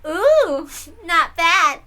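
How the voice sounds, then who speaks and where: Woman voicing a high, bright, gleefully cruel witch girl. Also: full character voice. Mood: amused. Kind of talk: dramatic visual novel read-aloud.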